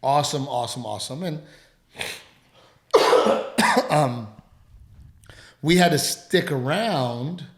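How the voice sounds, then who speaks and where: A man co-hosting a casual podcast at home, talking in halting, rambling runs, with a lingering dry cough. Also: medium pitch, roomy sound. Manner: rambling, halting, full of restarts. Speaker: podcast co-host, comedian. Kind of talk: casual chat.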